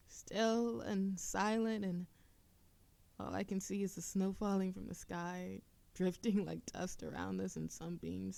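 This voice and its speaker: A woman playing an affectionate, playful and easily flustered young witch in love, speaking softly and haltingly in an intimate romantic audio roleplay. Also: medium pitch, creaky, no echo. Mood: wistful, tender. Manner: soft, halting, teasing, giggly.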